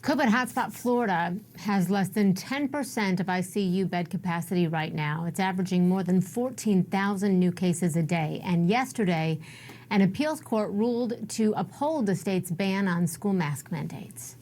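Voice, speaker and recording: American female news anchor, mid-pitched. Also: normal speed, a little mellow. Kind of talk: scripted broadcast news reading.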